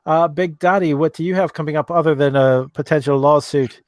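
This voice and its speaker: A man's voice: on the high side, a little fast, darkish tone, no echo, studio quality. A man with an Irish accent hosting a live call-in chat show and reading out viewer questions, with many ums and restarts. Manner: hesitant, many fillers and restarts. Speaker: livestream host.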